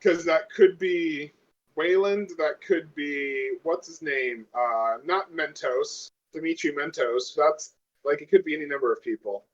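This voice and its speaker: A man's voice: fairly high pitch, unhurried, lo-fi sound. A man talking casually as a player in a tabletop role-playing game.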